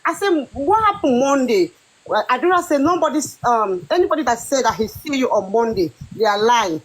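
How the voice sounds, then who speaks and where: A woman recounting a dream on a live stream, speaking haltingly with many fillers. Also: fairly high pitch, expressive, rough recording. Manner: hesitant, halting.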